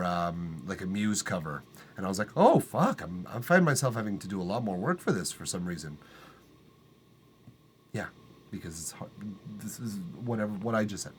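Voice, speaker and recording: A man's voice: fairly low pitch, fairly bright tone, slight background noise. Casual, profane man hosting a live stream. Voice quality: tenor voice. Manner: loose, profane, rambling with fillers and restarts. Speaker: livestream music feedback host. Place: acoustically treated home studio room.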